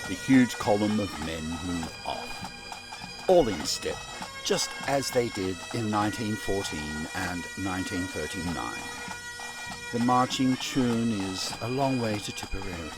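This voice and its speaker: A man's voice: lowish voice, a little slow, music in the background. A man narrating a scripted radio play, setting each scene.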